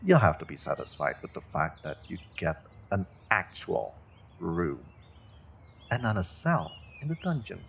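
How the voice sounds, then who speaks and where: A man performs a villainous male sorcerer from a fantasy audio drama. The voice is deep and full of gravel, unhurried and slow. He is smug and condescending, feigning kindness while quietly threatening. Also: very animated, slight background noise, heavily acted. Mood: smug politeness. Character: menacing evil male sorcerer, smug, mock-kind captor. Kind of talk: fantasy audio roleplay monologue.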